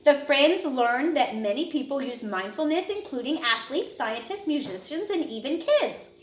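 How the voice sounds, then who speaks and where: A woman narrating a children's picture book in a measured, instructional way, phrasing clearly for young listeners. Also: sits high, animated, roomy sound, low-quality recording. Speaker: audiobook narrator.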